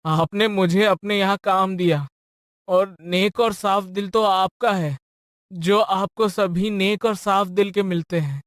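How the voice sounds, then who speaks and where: Brief: meek honest cartoon servant, pleads, very high voice. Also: male voice, steady tone, slow, a bit bright, no room sound, studio quality. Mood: humble. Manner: humble, pleading, stammers. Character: poor honest male servant, humble and gentle. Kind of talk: cartoon story voice acting.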